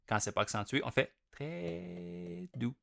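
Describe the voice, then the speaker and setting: A young man with a Québécois French accent explains drum reading exercises in a relaxed, chatty way and chants note rhythms aloud, loud on the accents and soft between. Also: a little high, a little slow, gravelly, very noisy. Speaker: drum teacher. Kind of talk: casual instructional explanation.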